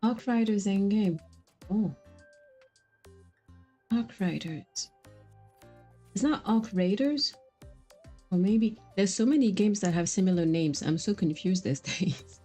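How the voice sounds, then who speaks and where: A woman's voice: mid-range voice, lively intonation, takes it slowly, pauses often, mellow. A woman streaming video games, chatting casually with viewers and reacting to the game. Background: faint game music.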